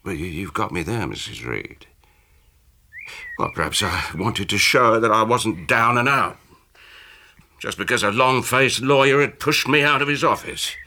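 A man's voice: fairly low pitch, lively intonation, normal speed, pushed and bright, full character voice. An actor playing a defensive, resentful middle-aged British businessman in a radio drama, with a hesitant, bitter manner. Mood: evasive.

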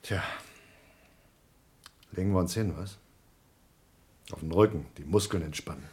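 An actor playing a calm, resigned astronaut who talks in a dry, matter-of-fact way. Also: male voice, low-pitched, measured pace, a little hiss or hum. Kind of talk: 1970s radio play acting.

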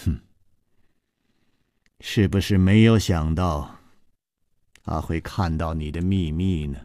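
A man's voice: sits low, takes it slowly, darkish tone, no echo, clean studio sound, pitched below the performer's own voice. A man voicing an icy palace mistress. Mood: scornful.

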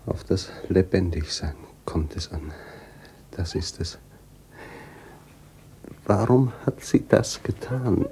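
Radio drama role: bereaved husband, dark gravelly voice, halting, bewildered and bitter, faint noise. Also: male voice, sits low, lively intonation, exaggerated voice. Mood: broken, pleading. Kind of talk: German radio play acting.

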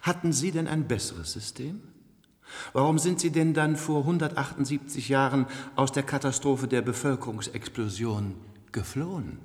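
A man acting a complacent, cultured ruler of a future elite in a 1970s radio play, suave, measured and condescending, in an echoey hall. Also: mid-range voice, a little mellow, lightly acted.

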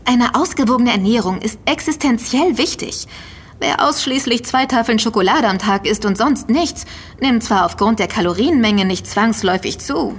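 A woman's voice: on the high side, husky. An actress playing a sober, knowledgeable woman in an audio drama, talking in a structured, matter-of-fact way. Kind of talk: German audio drama dialogue.

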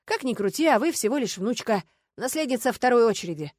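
A woman's voice: a little high, lively intonation, quick pace, darkish tone, no echo, voice pushed up. A woman voicing a male police investigator in an audiobook, weary, dry and authoritative. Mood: doubtful. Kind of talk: audiobook character voice.

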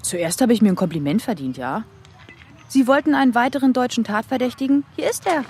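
A woman's voice: on the high side, lively intonation, a little fast, a little mellow, roomy sound, slight background noise. An actress playing a blunt, provocative female police detective in a German radio crime drama, needling with dry irony. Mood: cheeky. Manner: blunt, needling, dryly ironic.

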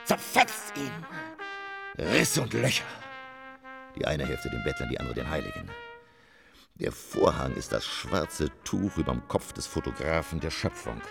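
Eccentric theatre lecturer, radio drama, very low voice, hugely varied delivery, pompous, declamatory, irascible. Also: male voice, huge pitch swings, measured pace, gravelly, music in the background, exaggerated voice. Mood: grandiose. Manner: pompous, declamatory, rambling, swinging from muttering to bellowing. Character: eccentric, irascible male theatre lecturer obsessed with stage curtains.

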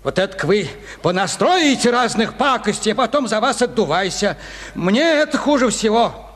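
A man's voice: sits high, animated, moderate pace, fairly bright tone. A man acting a cautious, grumbling petty official in a radio play, querulous and admonishing. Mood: worried, grumbling. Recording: reverberant hall-like studio.

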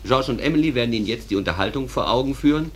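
An actor playing a genial, folksy stage manager who narrates a radio play in a reflective, conversational way. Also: male voice, mid-range voice, expressive, rapid-fire, a little hiss or hum, close to an everyday voice.

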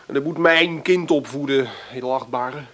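Man acting a sharp, spiteful ex-husband, Netherlands Dutch. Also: mid-range voice, natural voice. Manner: contemptuous, accusing. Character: spiteful, sneering, bullying ex-husband and father. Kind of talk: radio drama acting.

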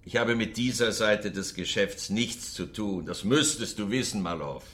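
A man's voice: medium pitch, pushed and bright, slight background noise. An actor in a German radio drama playing a stern, contemptuous Soviet secret police chairman with a pompous manner.

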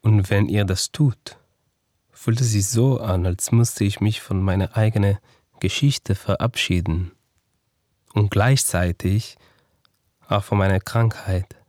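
A man's voice: fairly low pitch, steady tone, takes it slowly, darkish tone, no echo. A man playing a frightened, self-doubting cancer survivor, speaking an earnest, introspective confessional monologue in a radio play. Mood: reflective. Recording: dry studio with faint music.

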